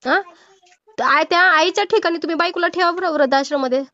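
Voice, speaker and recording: A woman with a Marathi accent speaks in a high voice on a dull, dry phone recording. She admonishes and counsels the listener. Her manner is insistent and moralising, full of pointed rhetorical questions. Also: fast talker, pushed and bright, dry recording. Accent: Marathi, Maharashtra. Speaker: old-age home worker taking a call. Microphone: phone call. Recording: muffled lo-fi phone call recording.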